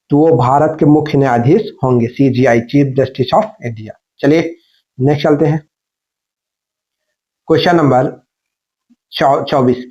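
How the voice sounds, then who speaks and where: A man's voice: on the high side, darkish tone. A man teaching like an exam coach, going through questions and answers in an instructional way and repeating them with rhetorical prompts. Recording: dull lo-fi microphone sound.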